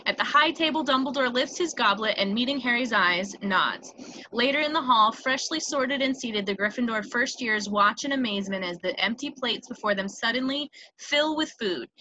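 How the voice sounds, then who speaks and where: A woman's voice: on the high side, sharp tone, no room sound, low-quality recording. A woman narrator reading out scripted screen directions for a table read.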